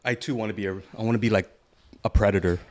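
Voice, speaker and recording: A man co-hosting a podcast in casual chat, easygoing, laughing along and giving quick agreeing replies. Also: fairly low pitch, steady tone, darkish tone. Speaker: podcast co-host.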